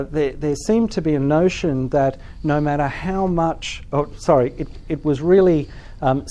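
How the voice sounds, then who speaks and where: Man, middle-aged, mellow tone, workshop presenter, hesitant fillers, lecture room. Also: medium pitch. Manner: thoughtful, many ums and restarts. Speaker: workshop presenter, language teacher. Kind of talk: conference workshop talk. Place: lecture room at a teachers' forum.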